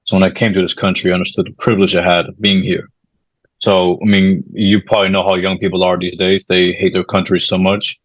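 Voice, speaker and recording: A man's voice: sits low, flat delivery, fairly quick, dry recording, lo-fi sound. A young man from Peru, a university student, introducing himself earnestly as a guest in an interview. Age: young adult. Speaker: guest, club vice president.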